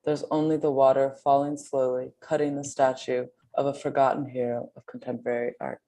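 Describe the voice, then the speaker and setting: A woman's voice: very deep voice, level tone, a little fast, dark tone, low-quality recording. A woman reading translated poetry aloud at a literary reading.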